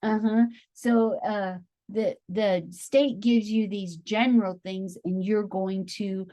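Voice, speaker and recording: Slow-talking woman lecturing to teacher trainees. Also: medium pitch, steady tone, dark tone. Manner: unhurried, checks in often with "okay?" and "right?"; thinks aloud with many "um" pauses. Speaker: teacher educator. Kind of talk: classroom lecture explaining a chart.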